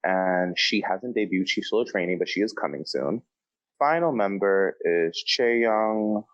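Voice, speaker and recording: Very low-pitched man chatting on a podcast. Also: animated, measured pace, fairly bright tone, highs cut off. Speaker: podcast co-host. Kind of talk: casual podcast chat.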